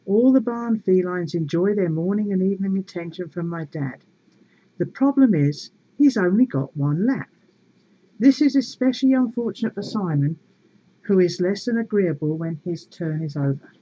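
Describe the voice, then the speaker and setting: Woman doing storytime, soft mellow kinda husky voice, wry expressive reading, dull lo-fi home recording. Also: on the low side, moderate pace. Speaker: storyteller reading a book aloud to viewers. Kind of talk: read-aloud storytelling with casual asides.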